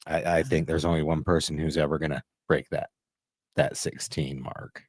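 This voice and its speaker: A man with an extremely deep, bassy voice chats casually as a sports podcast co-host. He offers his opinions conversationally, and the recording is dry with hardly any room sound. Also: little pitch movement, moderate pace, breathy edge, no echo. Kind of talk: casual sports prediction chat.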